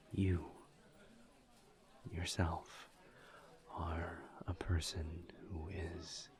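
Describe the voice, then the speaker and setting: A man playing a gentle, reserved incubus in an intimate audio roleplay, speaking softly and sincerely in a breathy near-whisper. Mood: earnest, tender. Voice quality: breathy, soft, near-whispered, gravelly low voice. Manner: slow, halting, tender and sincere. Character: gentle, earnest, reserved male incubus demon friend. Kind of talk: intimate audio roleplay, one side of a conversation.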